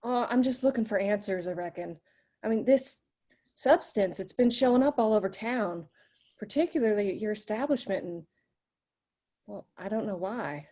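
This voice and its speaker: A woman's voice: mid-range voice, normal speed, warm tone, lightly acted. A woman reading a curious, hesitant young widow of twenty-one who stammers when caught snooping. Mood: timid. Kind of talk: screenplay table read.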